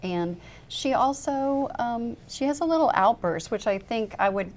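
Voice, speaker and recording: A woman's voice: fairly high pitch, lively intonation, measured pace. A woman who acts on stage, talking fluently and thoughtfully about her role in an interview. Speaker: stage actress guest. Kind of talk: casual interview chat.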